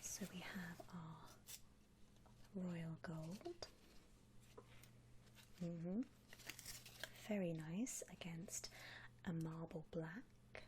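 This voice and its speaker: Slow, whispering ASMR woman. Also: lowish voice, fairly even intonation, background noise. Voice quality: breathy, mostly whispered. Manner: soft-spoken, gentle, attentive customer-service patter. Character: polite, attentive female vampire coffin-shop consultant. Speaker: ASMR roleplay performer, playing a shop consultant. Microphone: close ASMR microphone.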